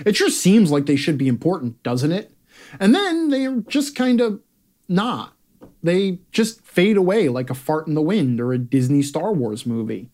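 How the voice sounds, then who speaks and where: Sarcastic man with wildly animated pitch. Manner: sarcastic, theatrical emphasis; brash, profane. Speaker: columnist and host reading own column aloud. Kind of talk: scripted comedic rant read aloud.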